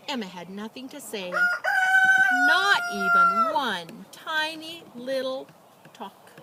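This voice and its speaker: Woman, bright voice, expressive storyteller, children's reading style, dramatic emphasis, faint ambient noise. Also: fairly high pitch. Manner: animated, dramatic emphasis and drawn-out words. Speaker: storyteller reading a picture book aloud.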